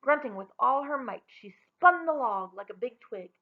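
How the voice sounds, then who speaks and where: A woman reading a children's story aloud in an expressive storytelling style. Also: high-pitched, moderate pace, narrow, tinny audio.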